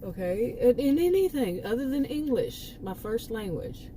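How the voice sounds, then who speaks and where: A woman's voice: a little high, big pitch swings, moderate pace, mellow, slight background noise, rough recording. A woman from Texas with a Texan accent, talking casually to her channel and rambling through restarts and asides. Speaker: channel host. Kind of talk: casual monologue.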